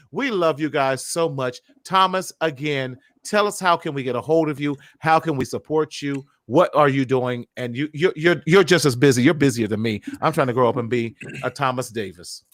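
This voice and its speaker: A man's voice: on the high side, a bit bright, no room sound. Guy hosting a wellness podcast, encouraging and caring, motivational talk, really dry sound. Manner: affectionate, encouraging. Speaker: podcast host. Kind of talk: warm motivational talk to online viewers.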